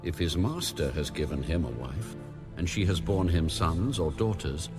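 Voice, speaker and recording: Very deep male scripture narrator over music. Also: lively intonation, moderate pace. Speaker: audio Bible narrator.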